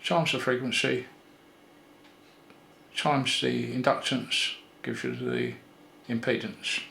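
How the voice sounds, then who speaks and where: An older man giving a casual hands-on repair tutorial, thinking aloud in an unhurried way with pauses. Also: medium pitch, big pitch swings, creaky, sharp tone. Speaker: electronics repair presenter. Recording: roomy echo with faint background noise.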